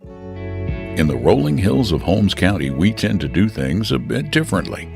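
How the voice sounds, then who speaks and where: A man's voice: fairly low pitch, big pitch swings, normal speed, gravelly. A man with an American accent narrating a scripted radio advertisement. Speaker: commercial narrator. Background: prominent music with guitar.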